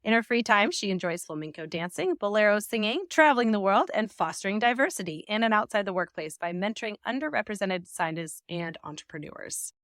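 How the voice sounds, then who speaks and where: A woman's voice: a little high, fairly bright tone, no echo, clean studio sound. A woman hosting a podcast, reading a scripted guest introduction with an upbeat delivery, then asking interview questions. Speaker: podcast host.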